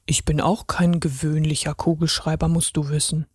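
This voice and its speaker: A woman reading a children's story, voicing a gentle male pen who works as a ticket inspector, with a deep voice and an encouraging manner. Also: little pitch movement, normal speed, breathy edge, dry recording, clean studio sound. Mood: reassuring.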